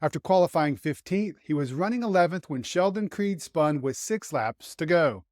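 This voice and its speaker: Dry-sounding man, higher-pitched voice. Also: lively intonation, moderate pace, darkish tone, no room sound. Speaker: host and motorsport writer. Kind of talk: scripted sports commentary show.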